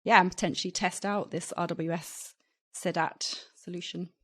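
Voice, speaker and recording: A woman's voice: on the low side, fairly even intonation, moderate pace. Woman co-host, casual podcast chat, hedgy, lots of fillers. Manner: hedging, conversational, many fillers.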